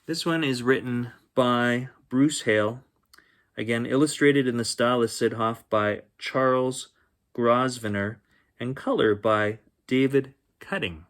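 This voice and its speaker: A man hosting a children's bedtime story reading, expressive, with chatty asides spoken straight to young listeners. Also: medium pitch, unhurried. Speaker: children's story reader and host. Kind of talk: bedtime picture book read-aloud with chatty asides.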